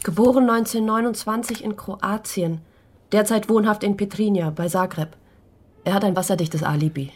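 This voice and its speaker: An actress playing a businesslike female investigator in a radio play, reporting in a clipped, husky voice. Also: medium pitch, normal speed, a little mellow, close to an everyday voice. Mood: matter-of-fact. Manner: matter-of-fact, clipped. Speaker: actor in a radio drama.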